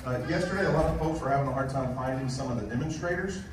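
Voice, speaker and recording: A man's voice: medium pitch, rapid-fire. A man making informal announcements to an audience and introducing a speaker. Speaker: event organizer. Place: large event hall. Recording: echoey PA in a big hall from far off, crowd noise.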